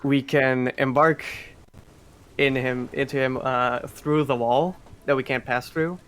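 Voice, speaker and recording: A man's voice: mid-range voice, fairly even intonation, measured pace, fairly bright tone, smooth. A man giving explanatory live commentary on a speedrun. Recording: faint background noise, live event stream.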